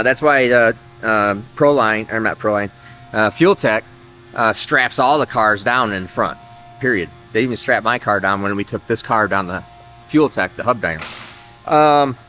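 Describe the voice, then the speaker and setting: Male workshop host, slightly low voice, casual and rambling, thinks aloud, music behind. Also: fairly bright tone. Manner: rambling, thinking aloud, often trailing off mid-sentence. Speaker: host of an engine-building video channel. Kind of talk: casual shop talk to viewers. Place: engine workshop.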